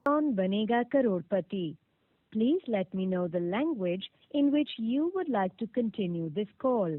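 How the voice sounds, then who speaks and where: A woman reading scripted automated phone prompts with formal, clearly enunciated instructions, heard over a narrow phone line. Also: medium pitch, lively intonation, very slow, no room sound. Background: played back from a recorded call.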